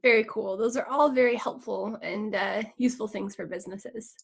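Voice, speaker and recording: A woman's voice: medium pitch, moderate pace, gravelly, husky. A woman hosting a conversational interview, polite and affirming as she asks questions. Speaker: interview host.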